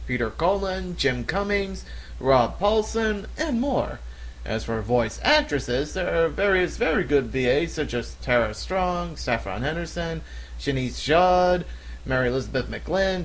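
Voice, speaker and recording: A man's voice: on the high side, low-quality recording. A man in his thirties with an American accent, an amateur voice actor giving a question-and-answer monologue on a cheap microphone. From United States. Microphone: cheap flexible desktop microphone.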